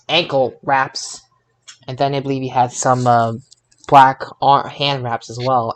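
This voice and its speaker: A man co-hosting a podcast, chatting casually about events in a halting way, often restarting mid-sentence. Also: medium pitch. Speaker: podcast co-host. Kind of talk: casual wrestling recap chat.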